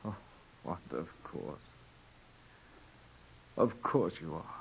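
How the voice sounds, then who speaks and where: An actor playing a guilt-ridden, weak Englishman with halting, emotional delivery in a 1940s radio drama. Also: low-pitched, animated, vocal fry, slightly rough, exaggerated voice. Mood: anguished. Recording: dull, hissy 1940s radio recording.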